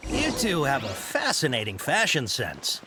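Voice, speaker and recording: A man's voice: medium pitch, wildly swooping pitch, a little slow. A man voicing a polite, slightly shifty young shop clerk in a video game, courteous and apologetic. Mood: courteous, businesslike. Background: game music.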